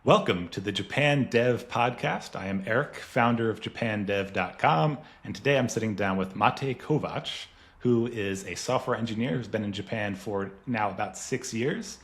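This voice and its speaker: A man hosting an interview podcast. His voice is on the low side, and he phrases his questions loosely, hedging with "I guess" and "like" as he goes. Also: normal speed. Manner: hesitant questions full of I guess and like. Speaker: podcast host.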